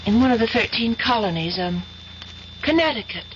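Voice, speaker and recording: A woman playing a brisk, self-assured young engineering student in a vintage radio mystery. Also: fairly high pitch, lively intonation, close to an everyday voice. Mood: excited, inventive. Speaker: radio drama actor. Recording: muffled, hissy old radio recording.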